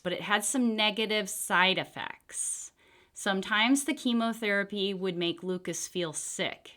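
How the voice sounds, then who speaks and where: A woman reading a storybook aloud for children in an expressive read-aloud style. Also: medium pitch. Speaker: children's book reader.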